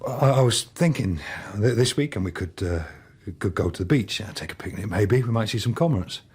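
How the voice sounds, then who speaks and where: A man's voice: lively intonation, a little fast, darkish tone. A man playing a kind, awkward suitor with a hesitant, modest manner in a naturalistic radio drama. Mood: bashful, hopeful. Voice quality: low, very husky. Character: kind, bashful, awkward adult male suitor.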